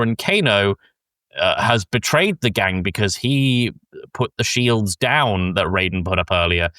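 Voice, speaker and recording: A man with a fairly low voice talks on a podcast in a very dry-sounding space. He recaps a film casually, rambling and stopping to search for words, and he swears freely. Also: sharp tone, smooth, no echo. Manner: rambling and halting, frequent swearing. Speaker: podcast host.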